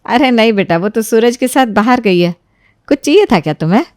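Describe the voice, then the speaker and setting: A woman's voice: fairly high pitch, animated, brisk, no room sound, studio quality, lightly acted. Motherly, credulous mother-in-law, acted by a woman. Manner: motherly, easily swayed. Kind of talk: dramatized Hindi moral story acting.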